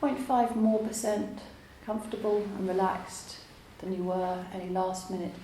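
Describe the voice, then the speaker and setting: A woman teaching yoga nidra, guiding a relaxation meditation in a calm, soothing, unhurried way with long pauses. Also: mid-range voice. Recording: roomy echo, faint background noise.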